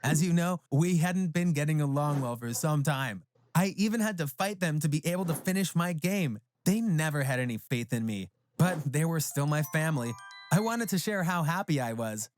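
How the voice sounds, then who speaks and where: A man narrating a scripted animated story as a proud, resentful teenage boy. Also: a little high, normal speed, slight background noise, close to an everyday voice. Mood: proud, earnest.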